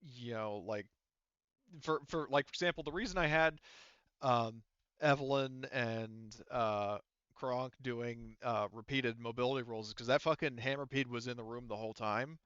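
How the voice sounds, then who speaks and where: A man's voice: medium pitch, normal speed, a bit bright, clear, resonant tone, no echo, highs cut off. A man working as a game master, casually explaining tabletop game rules in a conversational way peppered with swearing. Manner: profane, conversational.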